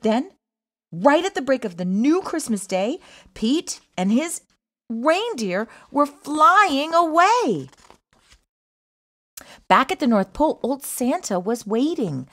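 A woman reads a picture book aloud in a high voice, very animated and slow. Her storytelling is expressive and sing-song, leaning on each rhyme for young listeners. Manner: sing-song, emphatic rhyming delivery. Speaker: children's book reader.

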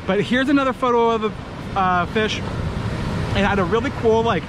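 A man's voice: high voice, moderate pace, noisy background. Upbeat young man vlogging on a beach. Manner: upbeat, keeps saying he is super excited. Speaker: fishing vlogger. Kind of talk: casual vlog narration to camera. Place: sunny beach outdoors. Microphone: chest-mounted action camera.

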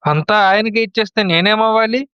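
A man's voice: high-pitched, a little fast, bright, forceful tone, clear, resonant tone, dry recording. A man playing a hot-headed, resentful younger son in a family story, in slightly muffled sound. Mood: aggrieved. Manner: aggrieved, confrontational.

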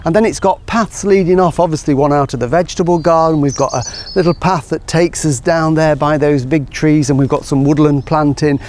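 A middle-aged man giving a relaxed, enthusiastic guided tour of his garden outdoors, explaining as he walks. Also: on the high side, a little fast, hardly any pauses, a little hiss or hum. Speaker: gardener and show host.

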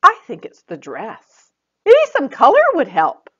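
A helpful young storybook fairy friend, put on by a woman reader, coaxes and gushes in a high voice. She exclaims with enthusiasm, swinging widely in pitch. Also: wildly swooping pitch, a little slow, bright, forceful tone, husky, muffled recording, full character voice. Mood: encouraging.

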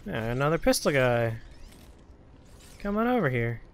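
A man's voice: medium pitch, sing-song, normal speed, slight background noise. A man giving casual let's-play commentary as host, in short muttered asides and exclamations. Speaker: host of a gaming show.

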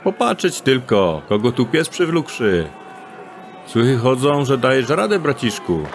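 An actor playing a cocky male thief in an audio drama, who talks in an odd, old-fashioned way over a clearly heard street ambience. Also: medium pitch, very animated, a little slow, a little hiss or hum.

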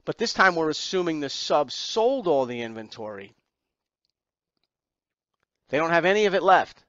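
Male lecturer, slightly high voice, didactic, repeats for emphasis, asks rhetorical questions, muffled lo-fi audio. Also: animated, moderate pace, fairly bright tone, dry recording. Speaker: accounting exam instructor. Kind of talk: lecture walking through slides.

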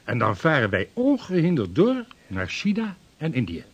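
Husky, earnest veteran seafarer. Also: male voice, a little high, huge pitch swings, normal speed, highs cut off, full character voice. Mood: earnest, persuasive. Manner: formal, respectful, persuasive. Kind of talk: Dutch radio drama acting.

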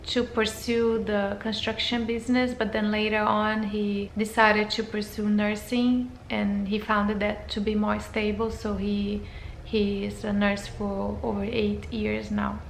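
A woman's voice: mid-range voice, gravelly, reverberant, slight background noise, poor audio. A woman in her thirties from Brazil, speaking English with a Brazilian Portuguese accent in a hesitant, casual intro to camera, with fillers and restarts. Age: 30s. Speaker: vlogger.

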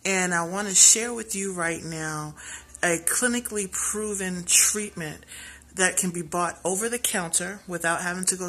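A woman's voice: lowish voice, pushed and bright, rough recording. A woman in her thirties hosting a health show in a casual selfie video, chatty and self-deprecating, spelling out terms letter by letter. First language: English. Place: small indoor room at home. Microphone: phone camera at arm's length.

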